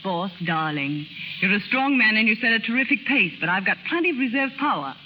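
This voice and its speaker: A woman's voice: medium pitch, sing-song, brisk, pushed and bright. An actress playing a spirited, devoted young secretary in love with her boss, in 1930s radio melodrama style. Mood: playful, plucky. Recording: slightly noisy 1930s radio broadcast.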